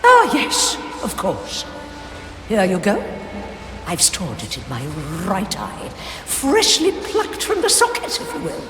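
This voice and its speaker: An actress voicing a dotty, eerily cheerful old undead crone with a creaky, theatrical delivery in a video game. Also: female voice, mid-range voice, wildly swooping pitch, takes it slowly, distant mic, over music. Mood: dotty delight. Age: older. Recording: echoey long reverb, set back.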